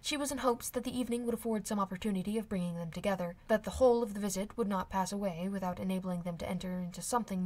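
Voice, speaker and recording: Breathy woman narrating a classic novel. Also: mid-range voice, a little mellow. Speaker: amateur audiobook reader. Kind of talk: scripted literary narration.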